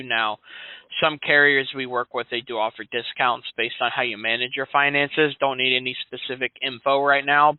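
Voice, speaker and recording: A man's voice: mid-range voice, no room sound. A man in his late twenties, an insurance sales agent, chatting casually and in a friendly way on a sales call, with many fillers. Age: 20s. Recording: dry, tinny phone line.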